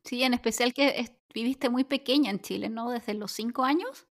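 A woman's voice: fairly high pitch, moderate pace. A woman in her thirties co-hosting a chat podcast, chatty and upbeat, telling long flowing anecdotes. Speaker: podcast co-host. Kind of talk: casual chat.

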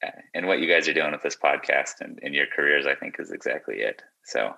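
Very deep, bright-edged young man. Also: fairly quick, vocal fry, dull audio. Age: young adult. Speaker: podcast guest. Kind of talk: casual, reflective conversation.

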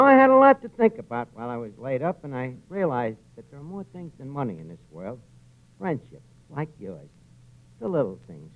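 A muffled old radio recording carries a streetwise New tavern manager. His voice sits high for a man, and he talks tough while reaching for grand sentiment, in broad 1940s comedy style. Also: a little hiss or hum.

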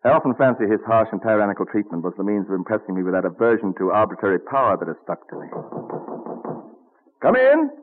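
An actor playing a hearty, self-assured colonial printer in his twenties, theatrical and animated, in an old radio drama. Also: fairly low pitch, fast talker. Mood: hearty, proud. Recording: thin, muffled old radio broadcast.

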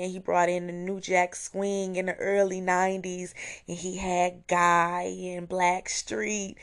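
Hoarse young woman, solo podcast host, low and even-toned, chatty and enthusiastic. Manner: chatty, enthusiastic, with fillers and restarts. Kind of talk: casual solo review podcast. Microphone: home recording, voice message style.

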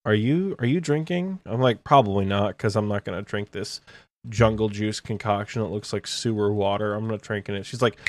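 A man in his twenties telling a story on a podcast, animated and casual, with swearing. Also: lowish voice, fairly quick, no room sound. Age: 20s. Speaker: podcast co-host.

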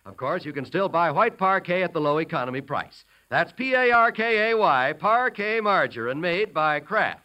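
A man's voice: high voice, big pitch swings, normal speed, few pauses, pushed and bright, muffled recording, faint music behind. A man reading a 1940s radio commercial as an announcer, polished, promotional and emphatic.

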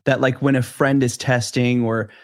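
A man's voice: medium pitch, level tone, normal speed. A man co-hosting a gossip show in casual chat, with a conversational, skeptical way of talking. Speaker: co-host of a celebrity gossip show.

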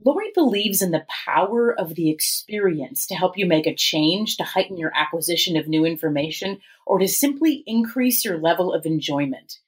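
A woman's voice: lowish voice, expressive, moderate pace, few pauses, slightly rough. A woman hosting a podcast, introducing and questioning her guest in a conversational interview style.

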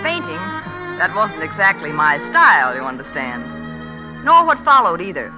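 An actress playing a sharp-witted, exasperated young woman writer in a 1940s radio comedy, with a wry, dramatic delivery. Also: fairly low pitch, big pitch swings, moderate pace, music in the background, poor audio. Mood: wry, narrating.

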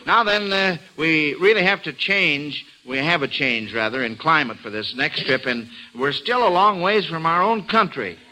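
A man's voice: on the high side, expressive, normal speed, hardly any pauses, pushed and bright, low-quality recording. A man hosting a classic radio quiz show for children, with folksy exclamations and theatrical scene-setting on an old broadcast recording.

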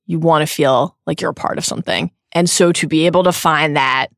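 A woman in her thirties co-hosting a podcast, speaking earnestly and with emphatic, drawn-out stress. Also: low-pitched, fairly even intonation, vocal fry, no echo, clean studio sound. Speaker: podcast co-host. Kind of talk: heartfelt podcast chat.

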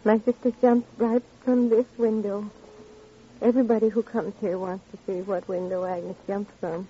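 A woman's voice: mid-range voice, flat delivery, normal speed, close to an everyday voice. An actress in an old radio drama playing a grieving, eerily polite woman with a dreamy, detached manner. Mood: grieving, intense. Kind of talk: old-time radio acting. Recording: muffled, noisy old radio recording.

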